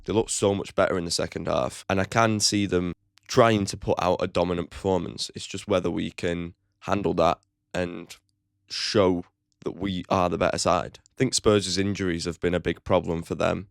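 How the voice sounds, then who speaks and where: A man's voice: low-pitched, flat delivery, moderate pace, vocal fry, no echo, studio quality. A man hosting his own football fan channel, giving a blunt, opinionated match preview with casual swearing. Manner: chatty, with frequent "like" fillers.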